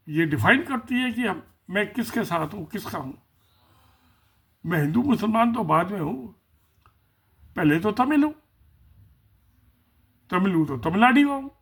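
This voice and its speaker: A man's voice: high voice, a bit bright, slightly rough. A man giving casual spoken political commentary, musing and repeating himself, asking rhetorical questions.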